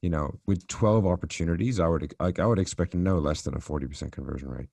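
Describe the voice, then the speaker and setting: A man's voice: low-pitched, fast, creaky, a little mellow, no room sound, clean studio sound. A man on a recorded sales coaching call, talking conversationally and asking probing questions. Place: recorded call, roomy sound.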